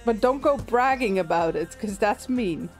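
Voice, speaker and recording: A woman with a soothing voice streaming a game, chatting casually with viewers and thinking aloud with fillers and small laughs. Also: mid-range voice. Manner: relaxed, chatty, frequent little laughs and fillers.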